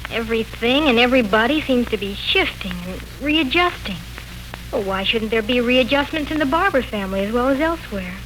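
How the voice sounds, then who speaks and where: A mild, tactful young family member in a 1940s radio drama. She has a rather high voice and a thoughtful manner, with faint noise from the aged recording. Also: expressive, brisk, a bit bright, low-quality recording. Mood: hesitant, thoughtful. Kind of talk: 1940s radio serial acting.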